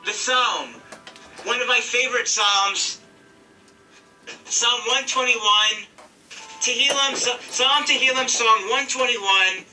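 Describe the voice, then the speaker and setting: A man's voice: very high voice, slow, long pauses, sharp tone, distant mic, soft background music, poor audio. A man in his thirties, a podcast host, talking earnestly in a heartfelt farewell and repeating himself as he goes. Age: 30s.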